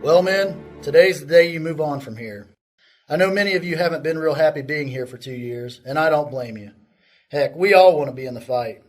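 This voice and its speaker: Man acting an earnest army commander, higher-pitched voice. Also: animated, a little fast, soft background music, natural voice. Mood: rousing, earnest. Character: earnest army commander addressing his troops. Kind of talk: amateur radio drama acting.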